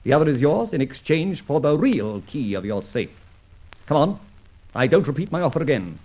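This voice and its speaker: An actor narrating and playing a suave, confident masked gentleman adventurer in an old radio drama, with a mocking, commanding manner. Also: a little high, big pitch swings, normal speed. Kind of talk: 1940s radio acting. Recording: dull old radio recording.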